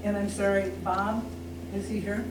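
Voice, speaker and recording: A woman's voice: medium pitch, level tone, moderate pace. An older woman speaking nervously and hesitantly as a resident at a public comment session, full of questions. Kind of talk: unscripted public remarks. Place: public meeting room. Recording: echoey room, distant mic, noisy.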